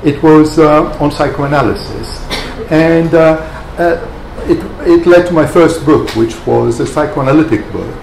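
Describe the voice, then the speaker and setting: A man in his sixties from Greece giving an academic lecture in a reflective, hesitant way with frequent pauses. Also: a little high, creaky, a little mellow. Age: 60s. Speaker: guest lecturer, professor. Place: wood-panelled seminar room, indoors. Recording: echoey room, audible background noise.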